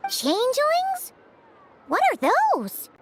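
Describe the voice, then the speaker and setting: A woman voicing a tiny, curious, childlike fairy companion with a squeaky voice in an anime game. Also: huge pitch swings, slow pace, very noisy, heavily acted. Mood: curious, excitable. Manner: refers to self in third person. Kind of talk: anime video game voice acting.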